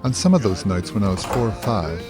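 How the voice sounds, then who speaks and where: A man's voice: lowish voice, sing-song, fairly quick. A man narrating a scripted first-person story. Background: music.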